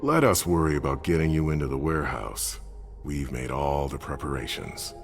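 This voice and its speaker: A stern male vampire adviser, extremely low pitch, blunt, uncompromising, gravelly, video game drama. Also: lively intonation, moderate pace, soft background music. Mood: serious, cautious. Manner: blunt, serious, matter-of-fact.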